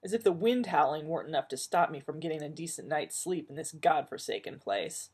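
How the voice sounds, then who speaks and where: A woman's voice: low voice, animated, brisk, a little mellow, slightly rough. Woman doing a whiny, put-upon male doctor. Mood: exasperated. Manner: whiny, exasperated complaining. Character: neurotic, irritable middle-aged male doctor.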